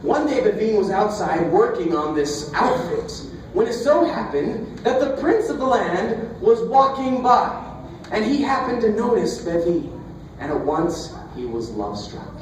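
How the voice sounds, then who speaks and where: High-voiced theatrical man storyteller, echoing. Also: sing-song. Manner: theatrical, playful, deliberate pauses. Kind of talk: comic live fairy-tale storytelling. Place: storytelling festival, live performance. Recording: echoey, distant, noisy live festival recording.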